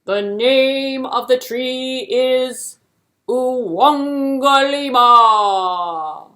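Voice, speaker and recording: A woman voicing a grand, solemn king in a puppet-show folktale, proclaiming loudly and slowly in a stately manner. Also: high-pitched, voice pushed up, heavily acted. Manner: loud, slow, stately proclaiming. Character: grand, booming king of an African village, solemn.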